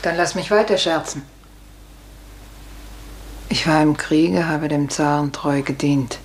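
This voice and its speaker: An actress playing a weary, hard-drinking older serf aunt in a radio play, resigned and sardonic in a measured delivery. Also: female voice, low-pitched, fairly even intonation. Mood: reading aloud. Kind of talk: 1950s German radio play acting. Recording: echoey, hissy 1950s radio recording.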